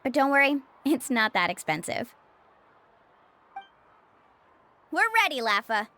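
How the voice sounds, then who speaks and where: A woman's voice: sits high, very animated, fairly quick, bright, forceful tone, heavily acted. A young woman playing a breezy, confident female adventure guide in an anime game. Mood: reassuring. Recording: game music behind the voice.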